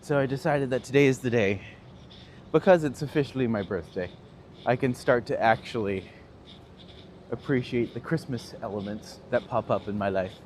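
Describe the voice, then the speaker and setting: A man's voice: mid-range voice, moderate pace, a little mellow. A man in his thirties with a Northern Ontario accent, chatting and laughing as he answers viewers while live-streaming a walk outdoors. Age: 30s. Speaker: tour guide livestreaming. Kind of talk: casual live-stream chat. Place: outdoors on a city street. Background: faint street traffic.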